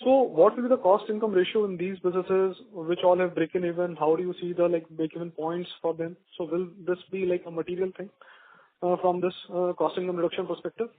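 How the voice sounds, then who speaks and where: A man with a high-pitched voice asks questions as an analyst on an earnings call. His manner is polite and deferential. He comes through a narrow telephone line. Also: flat delivery, brisk. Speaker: analyst caller. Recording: narrow radio-like phone line.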